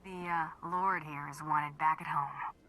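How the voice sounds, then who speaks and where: A woman's voice: low voice, fairly even intonation, a little slow, very noisy. A woman playing a tough, cocky bounty hunter in a video game, blunt and dry in delivery. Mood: curt, impatient.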